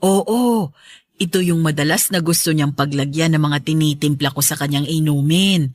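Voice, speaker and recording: A woman's voice: low-pitched, normal speed, dry recording, studio quality. A woman playing a kind but firm middle-aged mother in a radio drama. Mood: gentle, warm. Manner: gentle, motherly.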